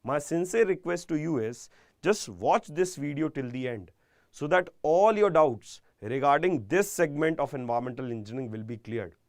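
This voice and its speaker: A man with an Indian accent giving a live online exam-prep engineering lecture, speaking deliberately and emphatically and repeating key phrases. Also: on the high side, lively intonation, no echo, clean studio sound.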